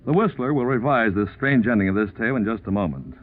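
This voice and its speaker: A man's voice: lowish voice, quick pace. An American man announcing in scripted 1940s radio style, with a polished, emphatic salesman's delivery. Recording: tinny 1940s radio recording, faint music.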